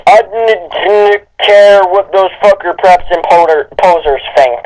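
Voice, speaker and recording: A man's voice: high-pitched, unhurried, darkish tone, poor audio. A man voicing a melodramatic, lovesick, gloomy teenage goth boy with overwrought declarations in a comedic fanfiction reading. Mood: lovesick anguish.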